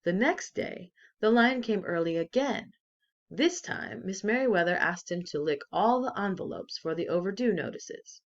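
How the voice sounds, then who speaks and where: A woman reading a children's story aloud expressively with deliberate emphasis, on slightly dull lo-fi sound. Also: mid-range voice, animated.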